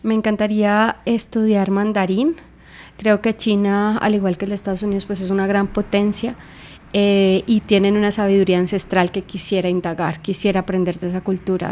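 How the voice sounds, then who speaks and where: A woman's voice: mid-range voice, darkish tone. A young Colombian woman with a Colombian Spanish accent, giving thoughtful interview answers full of small fillers. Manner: thoughtful, with many fillers like "eh" and "digamos". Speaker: guest.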